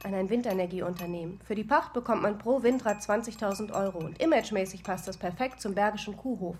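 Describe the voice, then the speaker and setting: Actress playing wry farm woman, teasing, persistent, medium pitch, natural radio play dialogue, light background ambience. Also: fast talker, darkish tone, a little hiss or hum, lightly acted. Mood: casual, wry. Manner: teasing, dry, conversational. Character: wry, cheeky organic farm woman, quietly persistent niece.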